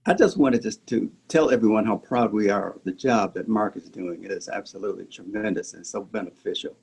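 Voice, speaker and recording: A man speaking as a club president, giving earnest, soft-spoken remarks over a video call. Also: on the low side, brisk, creaky, breathy edge.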